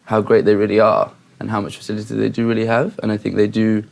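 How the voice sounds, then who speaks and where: Young man from England, quick, low, gravelly, rambling. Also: flat delivery, darkish tone. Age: young adult. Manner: rambling, hesitant restarts, lots of "um" and "like". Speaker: student guest. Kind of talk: casual chat.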